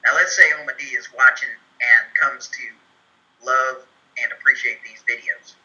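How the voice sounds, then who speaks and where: A man playing a simple, earnest Southern fellow with a put-on accent, speaking in a folksy, deliberate deadpan for a comedy tutorial. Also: mid-range voice. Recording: muffled, telephone-like band-limited sound.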